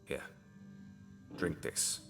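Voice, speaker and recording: A man playing a mysterious, grim healer in an audio drama, commanding yet consoling, with measured, ominous, formal phrasing. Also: fairly bright tone, soft background music. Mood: stern.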